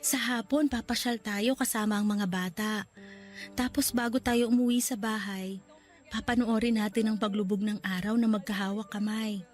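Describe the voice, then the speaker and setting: An actress playing a gravely ill young woman, tender and loving, speaking softly and pleading in a radio drama. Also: medium pitch, steady tone, normal speed, soft background music, natural voice. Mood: dreamy. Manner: soft and pleading, voice breaking into tears.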